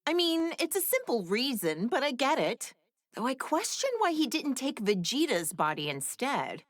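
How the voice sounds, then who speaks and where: A woman's voice: sits high, sing-song, moderate pace, no echo, clean studio sound. A woman voicing a confident, sensible video game character who explains things in a matter-of-fact, conversational way. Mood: opinionated. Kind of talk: animated video game voice acting.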